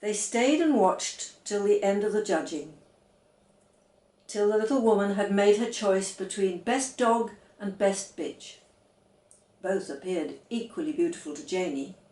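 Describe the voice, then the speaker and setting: A woman reading a children's story aloud in a measured, expressive way. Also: medium pitch. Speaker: audiobook reader. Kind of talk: scripted children's story narration. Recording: echoey room.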